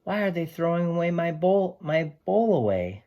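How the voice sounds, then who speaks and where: A man voicing a friendly, curious dog who narrates a children's storybook in an animated, eager way. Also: on the high side, moderate pace, pitched above the performer's own voice. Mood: worried. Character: friendly dog narrator helping his family recycle.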